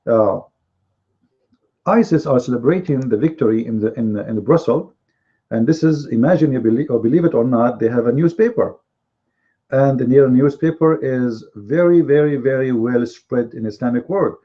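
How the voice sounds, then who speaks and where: A man whose first language is Arabic, hosting a live online commentary show, with emphatic, repetitive speech and drawn-out stresses. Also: mid-range voice, dull audio.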